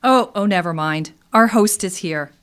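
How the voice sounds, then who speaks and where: A woman's voice: mid-range voice, normal speed, clean tone, natural voice. A woman acting in community radio theatre as a focused, wry friend who herds her distracted companions.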